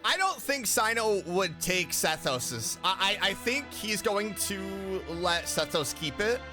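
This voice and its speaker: Male game streamer: high voice, unhurried pace, casual playthrough commentary with music behind. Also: very animated.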